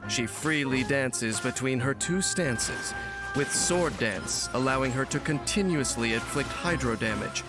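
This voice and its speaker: An actor playing a grave, world-weary warrior who narrates a game trailer in formal, measured, philosophical phrases. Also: male voice, fairly high pitch. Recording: music behind the voice. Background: instrumental game trailer music.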